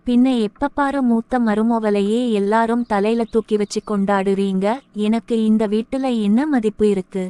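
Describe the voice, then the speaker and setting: A woman voicing a jealous, scheming younger daughter-in-law in an animated family moral short. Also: fairly high pitch, moderate pace, smooth, no room sound, lightly acted. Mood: aggrieved. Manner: resentful, plotting, speaks thoughts aloud.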